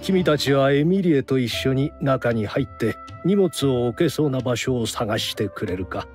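Courteous, mature gentleman played by a man. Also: mid-range voice, lively intonation, over music, lightly acted. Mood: apologetic, courteous. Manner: polite, measured, mature. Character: courteous older gentleman perfumer, calm and apologetic host. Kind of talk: anime game dubbing.

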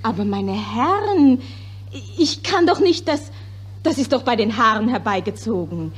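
High-voiced, effusive stage actress. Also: female voice, sing-song, unhurried, slightly rough, exaggerated voice. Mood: indignant. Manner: polite, effusive, protesting, then faltering and deferential. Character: flustered, appeasing Viennese stage actress back from Russia. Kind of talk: 1940s German radio play acting. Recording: old 1940s radio recording.

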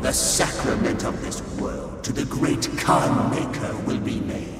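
An actor playing a fanatical, wrathful priest in a video game, preaching doom in a menacing declamatory way. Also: male voice, medium pitch, a little slow, vocal fry, music in the background. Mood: fanatical, defiant. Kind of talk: video game cutscene acting. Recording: echoey hall with long reverb, distant.

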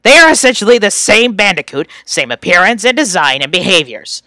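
Performer acting out young male troll, webcomic character, whiny sarcasm, scornful, animated pitch, sharp tone, dry close sound. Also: a little fast, no room sound. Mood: exasperated. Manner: exasperated, scornful, emphatic. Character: irritable young male troll, sarcastic insult-slinging whiner. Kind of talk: reading fan voice lines from a webcomic.

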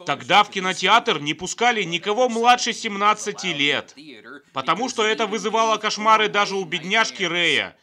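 A man's voice: high voice, lively intonation, moderate pace, sharp tone. A man narrating scripted comedic film commentary in a sardonic, joking manner.

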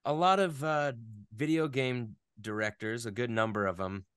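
A man in his thirties chatting casually as an interview guest, thinking aloud in a halting, self-deprecating way. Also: medium pitch, fairly even intonation, fairly bright tone, smooth, dry recording, studio quality. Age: 30s.

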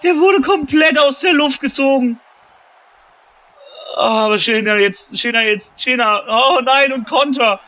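A man with a cold, commentating a video game wrestling tournament, shouting at the big moments and calmer between them. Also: unhurried. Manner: shouts and gets high-pitched at big moments, calmer when tallying scores. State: has a cold, slightly hoarse. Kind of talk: excited play-by-play commentary.

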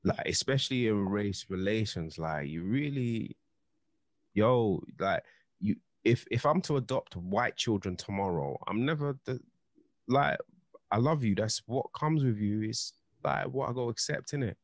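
A man, co-hosting a podcast and talking earnestly, often stacking up "you know" as he makes his point. His voice is fairly low. It is recorded dry and close on a studio mic at home. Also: animated, unhurried, dry recording. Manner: earnest, heated, leans on filler "you know". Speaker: podcast co-host. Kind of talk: casual chat. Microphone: studio mic, headphones.

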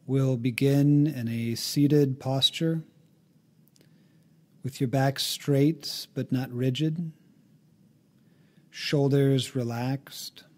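A man narrating a guided meditation in a calm, gently instructive way, leaving long pauses between phrases. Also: medium pitch.

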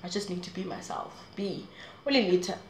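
A woman's voice: on the low side, brisk, reverberant, slight background noise. A woman in her twenties talking straight to camera in a casual, rambling advice vlog, laughing often. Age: 20s. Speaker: vlogger. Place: small room indoors.

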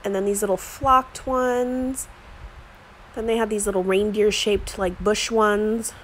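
A woman's voice: medium pitch, fairly even intonation, unhurried, a little hiss or hum, low-quality recording. A woman chatting casually while filming herself browsing the aisles of a store, with lots of filler words. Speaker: craft vlogger. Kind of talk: casual shop-with-me narration. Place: inside a store, walking the aisles. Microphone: handheld phone camera.

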